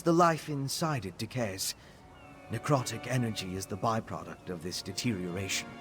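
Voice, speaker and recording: A man's voice: mid-range voice, lightly acted. A man playing an eager, articulate scholar of necromancy in a video game. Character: eager, bookish male scholar researching necromancy. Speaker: game character. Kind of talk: scripted video game dialogue.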